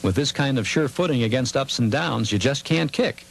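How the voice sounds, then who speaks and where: A man's voice: medium pitch, normal speed, creaky, husky. A man hosting a show, with announcer-style narration and on-location interviewing.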